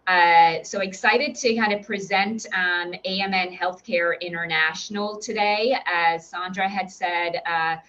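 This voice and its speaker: A woman's voice: fairly low pitch, fairly even intonation, unhurried, few pauses, fairly bright tone, dull audio. A woman with an Irish accent, a recruitment director giving an upbeat, encouraging presentation with frequent fillers and restarts. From Ireland. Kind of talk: webinar presentation.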